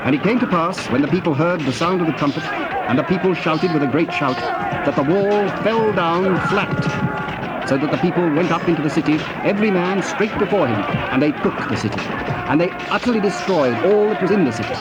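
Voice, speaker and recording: A man's voice: on the high side, expressive, fairly quick, over loud music, over a noisy crowd. A man narrating dramatized scripture in a solemn, formal storytelling manner. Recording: dull, noisy old cassette tape.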